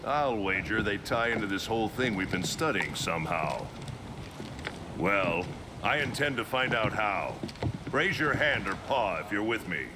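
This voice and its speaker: An actor playing a boisterous, hearty older admiral with a commanding, informal manner in a video game cutscene. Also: male voice, on the low side, lively intonation, a little slow, background noise. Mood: rousing, boisterous. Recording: loud music behind the voice.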